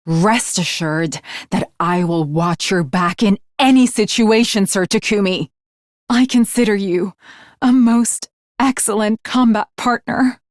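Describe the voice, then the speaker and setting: A woman's voice: medium pitch, unhurried, no room sound, lightly acted. Grandiose young swordswoman, acted by a woman, dry sound. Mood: resolute, heartfelt. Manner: formal, archaic, grandiose declarations. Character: earnest teenage swordswoman, grandiose and chivalrous, secretly fangirlish. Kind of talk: English anime game voice acting.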